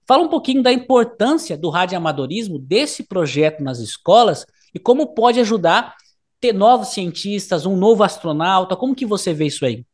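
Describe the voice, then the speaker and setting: A man's voice: sits high, very animated, normal speed. A man with a Brazilian Portuguese accent hosting an interview in a casual, conversational way. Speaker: interview host.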